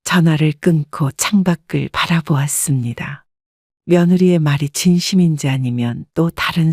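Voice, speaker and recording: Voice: medium pitch, darkish tone, dry recording. Composed audio story narrator, dry sound. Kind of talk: scripted first-person story narration.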